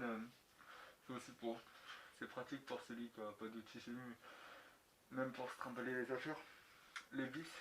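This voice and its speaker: A man giving a casual, unscripted product review in colloquial, slangy speech with some mild swearing. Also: medium pitch. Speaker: motovlogger reviewing gear. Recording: echoey room, clicks and clear background noise.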